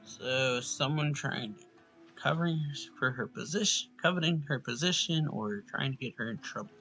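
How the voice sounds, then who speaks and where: A man's voice: medium pitch, normal speed, bright, forceful tone, faint music behind, rough recording. A man streaming a video game, giving casual, musing commentary in short muttered reactions. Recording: noticeable background noise.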